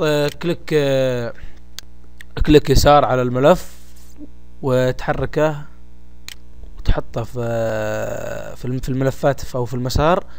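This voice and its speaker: A man with a Gulf Arabic accent presenting a software tutorial step by step in an informal, colloquial way, addressing listeners familiarly. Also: a little high, clean tone. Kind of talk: casual step-by-step screen tutorial. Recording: home recording with faint background noise.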